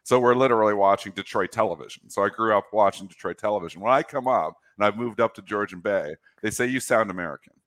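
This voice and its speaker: Canadian guy, low voice, rambling co-host, dry close mic. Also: fast, hardly any pauses, fairly bright tone, no echo. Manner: rambling, self-deprecating. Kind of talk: casual market chat.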